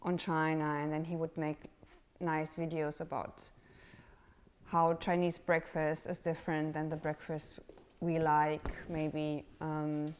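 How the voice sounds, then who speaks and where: Hesitant woman, low slow voice. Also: level tone, slight background noise. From Romania. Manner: hesitant, searching for words with many fillers. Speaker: interviewee, artist in residence. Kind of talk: casual interview answers.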